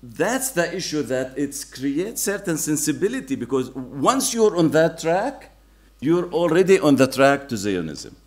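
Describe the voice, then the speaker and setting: A man's voice: a little high, big pitch swings, measured pace. Earnest man explaining to a panel. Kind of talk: academic panel discussion.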